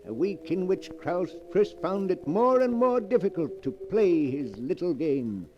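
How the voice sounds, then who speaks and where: A man narrating a 1940s radio drama in a measured, ominous way. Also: high-pitched, expressive. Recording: dull old broadcast with music under.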